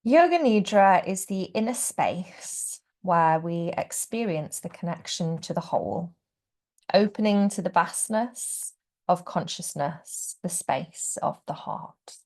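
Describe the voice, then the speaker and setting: Female meditation guide, lowish gentle voice with heavy fry, hushed at phrase ends. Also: expressive, takes it slowly. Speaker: yoga nidra teacher guiding relaxation.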